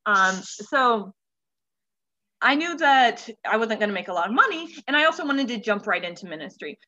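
A young woman in her twenties speaks as an interview guest in a bright, forward voice. She talks in a candid, informal way about herself, often checking her own words. The audio is slightly dull and lo-fi. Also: mid-range voice. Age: 20s. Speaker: children's pastor, interview guest. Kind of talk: casual interview chat.

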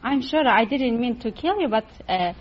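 High-voiced Turkish-accented woman on old radio. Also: expressive. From Turkey. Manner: halting, non-native English. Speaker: quiz show contestant. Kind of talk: casual interview answers. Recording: muffled vintage radio, studio audience.

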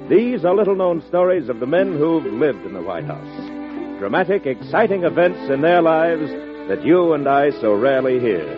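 A man's voice: fairly high pitch, sing-song, normal speed. A man with an American accent narrating in a grand, declamatory 1940s radio announcing style. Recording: muffled vintage radio broadcast. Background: music.

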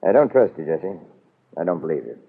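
Proud, sardonic middle-aged father, very low husky voice with lively swings, old radio sound. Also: quick pace, heavily acted. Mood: wary, defiant. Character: proud, wily middle-aged businessman father, cornered but defiant. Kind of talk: 1940s radio soap opera acting. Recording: muffled old radio broadcast.

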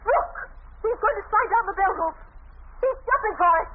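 An actress playing a frightened heroine, alarmed and melodramatic in the style of old radio drama. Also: female voice, extremely high pitch, expressive, moderate pace. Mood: alarmed, excited. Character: frightened, breathless heroine, the avenger's female companion. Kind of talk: 1930s-40s radio drama acting. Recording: noisy, tinny old radio recording.

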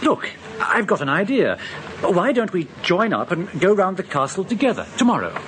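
Harried, lively bridegroom. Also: male voice, high-pitched, moderate pace. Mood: awkward, eager. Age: young adult. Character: harried, placating young British bridegroom. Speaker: actor in radio drama. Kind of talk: radio drama acting. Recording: noisy old radio drama recording.

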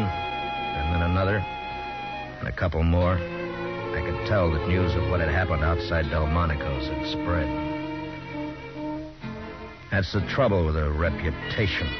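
A grave, steady frontier marshal in an old radio western, with a very deep voice and measured narration. Loud music sits under the dull, muffled 1950s broadcast sound. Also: male voice.

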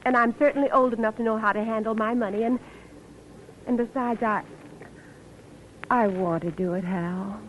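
A woman's voice: fairly high pitch, moderate pace, pauses often, natural voice. A woman playing a trusting, eager sweetheart in 1940s radio drama style, with an earnest, breezy manner. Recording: muffled old radio with faint noise.

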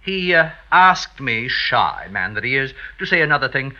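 A man's voice: mid-range voice, sing-song, moderate pace, natural voice. An actor playing a fawning, scheming male servant in a 1950s radio drama, obsequious, hesitant and theatrical. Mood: oily, mock sympathetic. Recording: dull vintage radio recording.

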